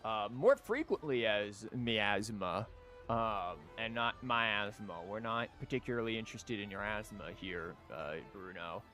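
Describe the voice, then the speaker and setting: A man's voice: on the low side, expressive, a little slow, pushed and bright. Male streamer, casual game commentary, wry and rambling, light music underneath.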